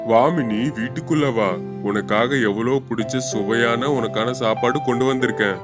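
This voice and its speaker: A man's voice: medium pitch, moderate pace, loud music behind the voice, natural voice. A man voicing a kindly, protective elder-brother eagle in a cartoon, caring and hospitable. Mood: welcoming. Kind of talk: Tamil moral-story cartoon dub.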